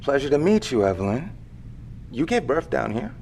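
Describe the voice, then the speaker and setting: An actor playing a cagey, unflappable middle-aged man with secret knowledge, speaking in a measured, dry audio drama delivery. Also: mid-range voice, very animated, gravelly, darkish tone, slight background noise. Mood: polite, calm. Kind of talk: naturalistic audio drama acting.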